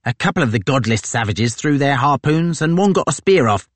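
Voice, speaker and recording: A man voicing a big, brash Marine sergeant who talks in a loud, boastful, rough working-class way. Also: medium pitch, animated, fairly quick, dry recording, voice pushed up, lightly acted. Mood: gloating.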